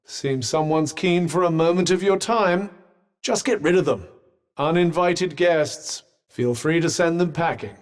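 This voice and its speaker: A man's voice: on the high side, measured pace, close to an everyday voice. Man as a reverberant, haughty British magical cuff. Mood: disdainful, droll. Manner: pompous, droll, formal wording. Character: haughty, sardonic talking magical cuff, refined male companion. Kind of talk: scripted video game companion dialogue.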